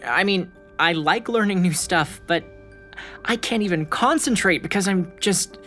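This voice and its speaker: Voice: mid-range voice, a little slow, bright, forceful tone, faint music behind. A young performer playing an anxious, awkward, earnest schoolboy in an audio drama, speaking hesitantly. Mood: anxious, confiding. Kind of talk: naturalistic audio drama acting.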